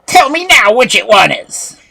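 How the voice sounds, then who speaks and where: A woman's voice: on the high side, very animated, unhurried, gravelly, a bit bright, breathy edge, pitched above the performer's own voice, full character voice. A woman voicing a menacing, commanding old witch in a storybook tale, furious and full of threats. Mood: angry, demanding.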